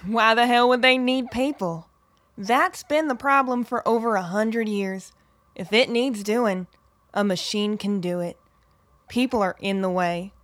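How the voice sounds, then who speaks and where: A woman's voice: on the high side, unhurried, no echo, close to an everyday voice. An actress playing a tough, weary woman in hiding in an audio drama, speaking bluntly and matter-of-factly.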